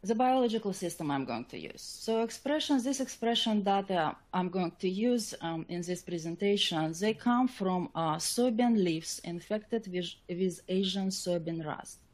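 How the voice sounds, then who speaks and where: A woman scientist presenting a technical slide lecture in a webinar, with a halting, hesitant delivery. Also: medium pitch, animated, darkish tone.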